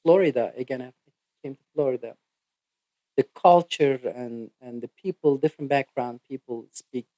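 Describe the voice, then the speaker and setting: Turkish man, physical therapist guest, accented English, mellow tone, hesitant delivery, dry close recording. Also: medium pitch, no echo, low-quality recording. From Turkey. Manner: halting, full of "you know" fillers. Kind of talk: podcast interview answers.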